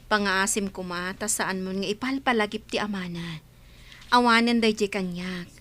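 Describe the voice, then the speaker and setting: A woman acts a young expectant mother in a village radio drama. She speaks at a medium pitch, sounding wounded yet resigned about being jilted. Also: normal speed, fairly bright tone, lightly acted. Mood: defensive. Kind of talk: Ilocano radio drama acting.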